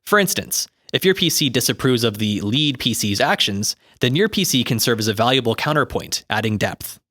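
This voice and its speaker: A man's voice: mid-range voice, animated, normal speed, fairly bright tone, no room sound, studio quality. A man reading scripted explanatory narration in an articulate, instructive way, like an audiobook of a guide. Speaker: co-host reading game rulebook commentary.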